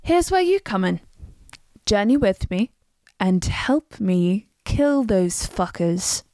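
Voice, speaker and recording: Vengeful female warrior for a fantasy game, resolute, measured pace, mid pitch. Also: sing-song, warm tone, no room sound. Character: determined female assassin warrior seeking vengeance. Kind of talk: scripted game character voice acting.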